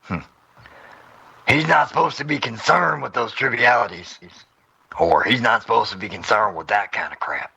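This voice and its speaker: Male audio drama performer, bright forceful edge, gravelly, exasperated, correcting himself between takes. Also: mid-range voice, normal speed, pauses often. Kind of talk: voice acting outtakes with muttered retakes and asides.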